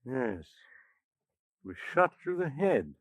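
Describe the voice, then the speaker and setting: An actor playing an authoritative doctor, measured and grave, in a 1940s radio horror drama. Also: male voice, medium pitch, sing-song, dry recording. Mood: somber. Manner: measured, commanding. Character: grave, authoritative male doctor, steady and urgent.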